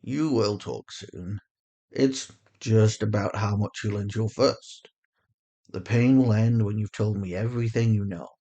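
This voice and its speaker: Someone voicing a gravelly, weary male interrogator. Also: medium pitch, measured pace, warm tone, lo-fi sound. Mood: calm menace. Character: weary, resigned male interrogator, quietly menacing. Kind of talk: acted audio fiction.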